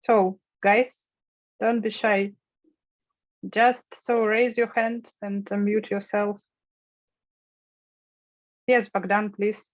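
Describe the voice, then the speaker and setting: A woman's voice: mid-range voice, flat delivery, slow pace, pauses often, dry recording. Encouraging woman hosting a video call. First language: Russian. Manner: friendly, encouraging, prompting participants. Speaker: workshop host. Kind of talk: online workshop moderating. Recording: dull lo-fi call audio.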